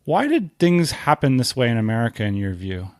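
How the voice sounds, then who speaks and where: A man's voice: mid-range voice, big pitch swings, brisk, a little mellow, no room sound, studio quality. A man hosting a podcast, reading prepared questions and promotional copy in a scripted style. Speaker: podcast host and interviewer. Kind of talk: scripted podcast interview questions and sponsor read.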